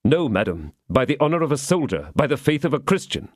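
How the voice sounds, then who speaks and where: A man voicing a grave, upright young soldier who speaks in a measured, serious way. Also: medium pitch, big pitch swings, dry recording, clean studio sound, pitched above the performer's own voice.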